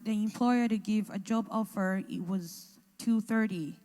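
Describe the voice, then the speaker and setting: A woman interpreting consecutively into English, halting and restarting as she translates. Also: mid-range voice, mellow. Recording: echoey hall.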